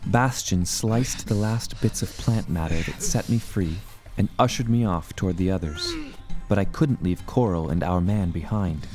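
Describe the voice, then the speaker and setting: Low-pitched man narrating an adventure story. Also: darkish tone. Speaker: narrator telling the story in first person. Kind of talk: scripted dramatic audio fiction narration. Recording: music behind the voice.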